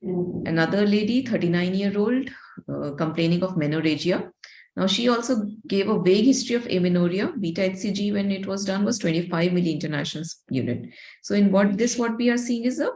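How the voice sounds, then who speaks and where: A woman's voice: mid-range voice, brisk, darkish tone. A woman lecturing on radiology cases, explaining step by step in a didactic way. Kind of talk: case-based medical lecture.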